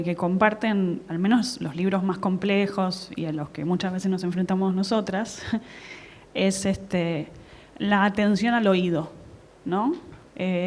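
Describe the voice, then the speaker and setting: A woman, a translator and teacher, speaks on a book-fair panel. She explains her points in an articulate, measured way. Her voice is mid-pitched, with only occasional fillers. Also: darkish tone. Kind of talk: panel discussion. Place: conference hall at a book fair.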